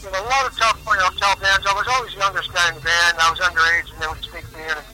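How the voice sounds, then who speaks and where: A middle-aged man with a high, very sharp and tense voice, talking as a musician guest on a radio show. He rambles from story to story, drops names and halts on fillers. Faint music plays behind him. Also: level tone, low-quality recording. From Germany, raised in California. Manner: rambling, name-dropping, halting with many fillers. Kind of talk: casual radio interview.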